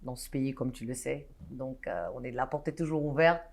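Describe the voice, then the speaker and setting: A woman's voice: extremely low pitch, a little fast, a little mellow. A woman in her thirties from Guinea, a guest in a studio interview, talking animatedly with frequent restarts and hesitations. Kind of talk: casual interview talk. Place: studio set.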